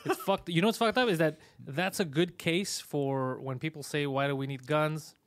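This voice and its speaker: A man whose first language is Greek, hosting a podcast in a casual, crude chat, loud, sarcastic and mocking, swearing often. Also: a little high, normal speed, dry recording. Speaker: podcast host.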